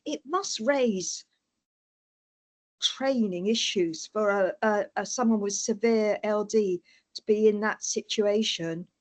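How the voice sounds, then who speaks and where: A woman with a British accent, a board member asking a question in a halting way with many fillers. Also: mid-range voice. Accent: British English.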